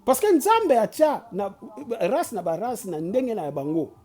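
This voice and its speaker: Urging Congolese host, mellow voice. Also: mid-range voice, wildly swooping pitch, unhurried, soft background music. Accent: Congolese French. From Kinshasa, Congo. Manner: preachy and urging, with frequent restarts and stammers. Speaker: channel host. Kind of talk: impassioned address mixing Lingala and French.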